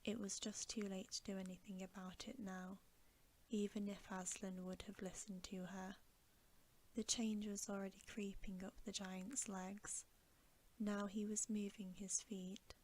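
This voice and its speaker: A woman narrates a story in a soft ASMR whisper. Her pace is slow and gentle, and her pitch stays level, with breathy words meant for bedtime listening. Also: level tone.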